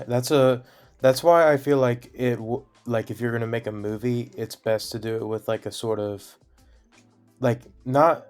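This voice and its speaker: A man's voice: fairly low pitch, gravelly, a little mellow. A young man co-hosting a podcast, searching for words and trailing off. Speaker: podcast co-host. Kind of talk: casual chat.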